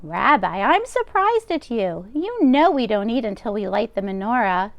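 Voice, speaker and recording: A woman's voice: fairly high pitch, sing-song, normal speed, dry recording, highs cut off. A woman voicing a kindly, fussing grandmother of ninety-seven who is hard of hearing, in a read-aloud storybook. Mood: chatty. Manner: cheerful, fussing hostess.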